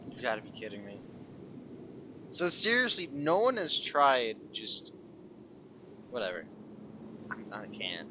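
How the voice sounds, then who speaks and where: A male streamer comments casually on a game in a sardonic, muttering way. His mid-pitched voice jumps around a lot. There is clear noise behind him. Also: huge pitch swings, a little slow, pauses often.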